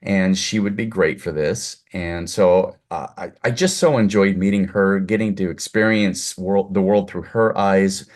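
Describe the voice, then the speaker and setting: A man's voice: low voice, measured pace, hardly any pauses, a little mellow. A man hosting an interview over a video call, effusive and full of compliments for his guest. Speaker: host, producer. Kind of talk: casual interview chat.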